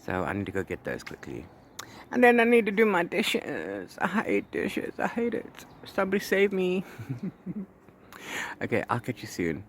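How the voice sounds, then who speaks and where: A man's voice: high-pitched, huge pitch swings, measured pace, a bit bright. A man chatting casually as a book vlogger, rambling and repeating words and phrases for emphasis. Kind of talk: casual chat to camera about reading. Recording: clear background noise.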